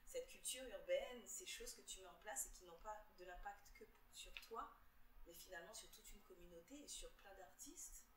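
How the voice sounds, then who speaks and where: A woman's voice: medium pitch, moderate pace, fairly bright tone, echoey room, rough recording. A woman interviewer asking casual, playful questions.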